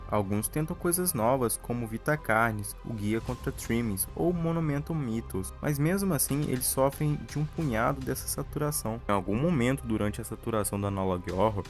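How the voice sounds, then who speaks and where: A man's voice: medium pitch, animated, moderate pace, hardly any pauses, soft background music. A man with a Brazilian accent reading scripted narration in a documentary-style video essay.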